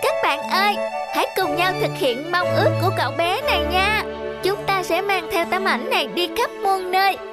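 Woman voicing a high, earnest little cartoon balloon. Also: normal speed. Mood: hopeful, proud. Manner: earnest, rallying. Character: small earnest toy balloon, brave and determined. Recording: music behind the voice.

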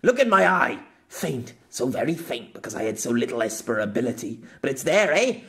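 A man voicing an angry, bitter man who speaks in a forceful, accusing, demanding way. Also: mid-range voice, sing-song, brisk, vocal fry. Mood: frantic, angry.

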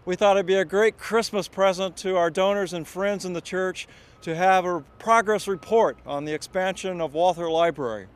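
A man's voice: sits high, normal speed, smooth. A middle-aged man giving an explanatory walking tour of a snowy outdoor construction site as library director, speaking into a handheld mic. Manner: explanatory, gestures while speaking. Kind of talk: guided walking tour narration.

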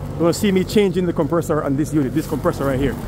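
A man with a New York accent talks casually to his viewers while he works. He explains each step as he goes, and his voice sits a bit high for a man. He is filming on a handheld camera outdoors, with plenty of outside noise behind him. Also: rapid-fire. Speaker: HVAC technician. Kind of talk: on-the-job video walkthrough. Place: outdoors beside a house at an air conditioner unit.

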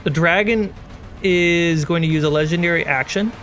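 High-voiced man running a tabletop game. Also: normal speed, background noise. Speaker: game master and host. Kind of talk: narrating a tabletop roleplaying game. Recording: loud cinematic music behind. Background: cinematic music and sound effects.

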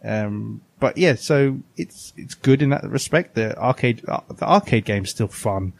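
A man's voice: mid-range voice, animated, unhurried, vocal fry. A man co-hosting a podcast, chatting casually and giving opinions with emphasis. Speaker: podcast co-host. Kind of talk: casual retro gaming chat.

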